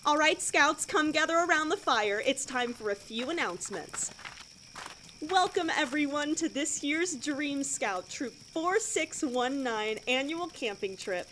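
A woman's voice: high-pitched, animated, a little slow, noisy background. An actress playing a relentlessly cheery, blithely macabre female scout leader in an audio drama, chirpy and instructing.

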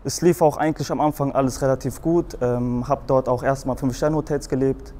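A man's voice: mid-range voice, steady tone, fairly quick, warm tone. Young Frankfurt man telling his story. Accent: Hessian German. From Germany, Frankfurt. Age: young adult. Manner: rambling, many fillers and restarts. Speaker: guest in a show video.

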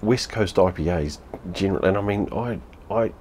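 New Zealand man, fifties, very deep voice, blunt and sweary, home webcam, faint background hum. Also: a little fast, a little mellow. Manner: blunt, sweary, repeats phrases. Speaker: beer reviewer. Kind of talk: casual vlog review. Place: home room with TV behind.

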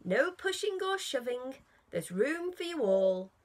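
A woman's voice: high-pitched, big pitch swings, a little slow, voice pushed up, heavily acted. Woman reading a kindly, lilting old ark-builder. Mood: reassuring, directing. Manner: reassuring, directing. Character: kindly, organising old man shepherding animals aboard.

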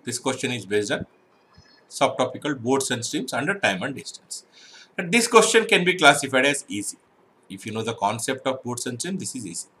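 Bright-voiced man teaching math step by step. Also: on the high side. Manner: explains step by step with frequent "right?" checks. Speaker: exam prep math teacher. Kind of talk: online exam-prep lesson.

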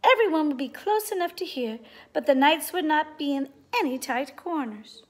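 Woman playing a high-voiced, practical noble lady. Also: animated, moderate pace. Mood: thoughtful. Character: clever, practical noble lady offering solutions.

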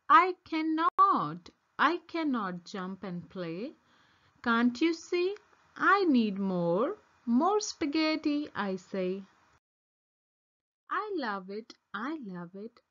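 A woman voicing a stubborn, excitable female monkey from a children's storybook, with emphatic, sing-song repetition. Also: fairly high pitch, extremely slow, highs cut off, exaggerated voice. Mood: refusing, busy. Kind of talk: children's storybook read-aloud.

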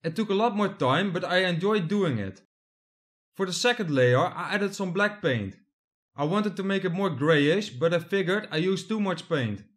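Young guy from the netherlands talking thru his diy build, kinda high voice. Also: animated, normal speed. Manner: plain, step-by-step explaining. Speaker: hobby builder narrating his project. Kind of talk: scripted voice-over narration.

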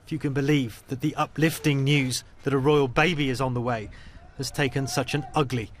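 A man's voice: mid-range voice, fairly even intonation, fairly quick, slight background noise. A man with a British accent narrating a scripted television news report as a correspondent.

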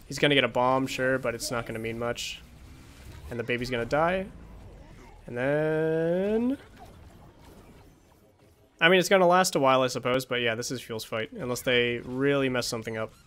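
A man's voice: medium pitch, steady tone, normal speed, long pauses, fairly bright tone, a little hiss or hum. A young man reviewing an esports match as a coach, thinking aloud with many fillers, his voice tired after hours of streaming, on a home streaming mic. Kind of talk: casual match review commentary.

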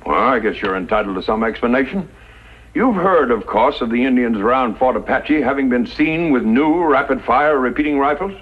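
A man playing an authoritative army commander on the frontier, giving a formal, measured briefing in a 1950s radio western. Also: medium pitch, lively intonation, slightly rough. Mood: formal, grave. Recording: thin phone-like vintage radio recording.

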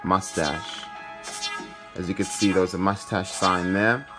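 A man's voice: low voice, fairly even intonation, slow pace. A man hosting a gaming video, commentating casually and thinking aloud in an informal way. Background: game music.

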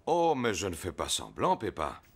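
A man playing a bumbling, good-natured and lazy daddy pig in an animated children's cartoon, with exaggerated, expressive delivery. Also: deep voice, normal speed, slightly rough, heavily acted. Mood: breezy.

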